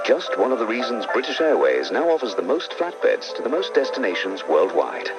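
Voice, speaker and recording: A man's voice: medium pitch, very animated, fairly quick. A man reading a scripted airline radio commercial as the announcer. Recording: dull lo-fi radio ad, loud music.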